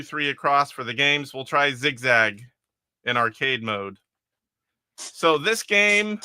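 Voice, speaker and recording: Chatty, bright-voiced man streaming games. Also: a little high, smooth, dry recording, clean studio sound. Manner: chatty, rambling, chuckles, reads viewer comments aloud. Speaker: retro game streamer host. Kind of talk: casual live-stream chat with gameplay. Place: attic converted to a game room.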